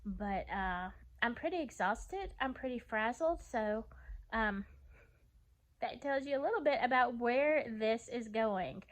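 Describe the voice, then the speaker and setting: A woman giving a casual vlog house tour, talking in a halting, gushing, conversational way. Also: on the high side, animated.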